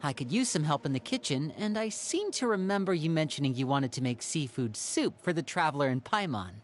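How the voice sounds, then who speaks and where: A man's voice: a little high, lively intonation, fairly quick, background noise, close to an everyday voice. A man playing a suave, protective young elder brother in a video game, with an assured, theatrical, probing manner. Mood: friendly, upbeat.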